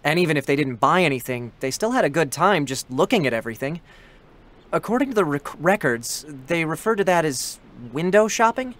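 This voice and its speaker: A man's voice: fairly high pitch, expressive, a little fast, fairly bright tone. An actor playing an earnest, wistful young male android soldier in a dramatic reading, chatty and sentimental with boyish hesitations. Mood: curious, playful. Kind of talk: dramatic scripted reading with first-person narration.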